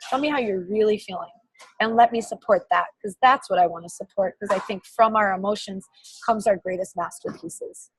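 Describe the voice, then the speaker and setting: A woman's voice: medium pitch, slightly rough, no room sound, poor audio. A woman author in a candid interview, talking earnestly and searchingly, often restarting her phrases.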